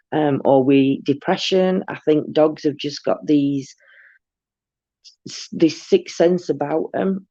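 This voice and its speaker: A chatty man, voice set a little high and full of gravelly fry. He rambles through a late-night live chat, stacking up fillers and "do you know" asides. The sound is dull and lo-fi, dry and close. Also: dark tone, no room sound. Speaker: host.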